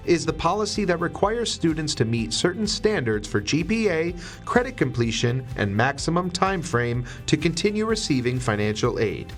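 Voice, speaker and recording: A man reading scripted explainer narration in a clear, instructional manner as a voice-over narrator. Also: mid-range voice. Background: music.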